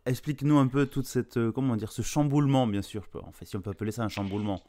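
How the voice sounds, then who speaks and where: A man's voice: medium pitch, quick pace, warm tone. A man hosting a podcast, reading a friendly intro and guiding the interview. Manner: friendly, slightly scripted intro. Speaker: podcast host.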